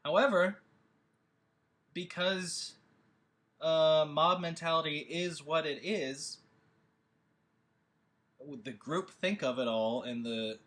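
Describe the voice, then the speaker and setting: A man speaking informally to an audience as a host and writer, in a rambling, animated and emphatic way. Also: fairly high pitch, slow pace, long pauses. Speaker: host and scriptwriter introducing a table read.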